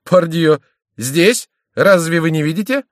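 A man's voice: a little high, expressive, fairly quick, no echo, clean studio sound, pitched above the performer's own voice, lightly acted. A man voicing an outraged, battered young nobleman, a king's favourite, indignant and theatrical, in an audiobook. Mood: aggrieved. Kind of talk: audiobook character voice.

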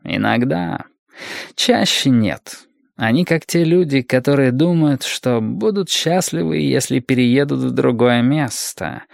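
Man acting as ancient otherworldly guardian, male, velvety, creaky, unhurried, cultured, calm, audiobook character. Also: mid-range voice, no room sound, studio quality. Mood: calm, thoughtful. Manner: measured, deliberate, formal.

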